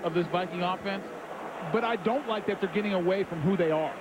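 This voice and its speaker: A man's voice: sits high, brisk. A man giving color commentary on a football game, conversational, often repeating himself and saying "I mean.". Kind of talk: sports analysis. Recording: broadcast booth over loud crowd noise.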